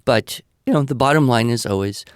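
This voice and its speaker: Male podcast guest, mid-pitched, explanatory and emphatic, conversational advice delivery, very dry recording. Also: a little fast, smooth, no echo. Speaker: podcast guest answering listener questions.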